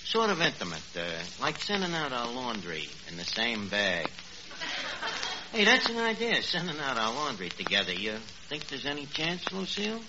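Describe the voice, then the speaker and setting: Cocky Brooklyn tavern manager, lively swoops in pitch, word-mangling wisecracks, old radio comedy, noisy muffled recording. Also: male voice, normal speed. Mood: smitten, hopeful. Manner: wisecracking, hesitant, malapropisms. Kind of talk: 1940s radio comedy acting.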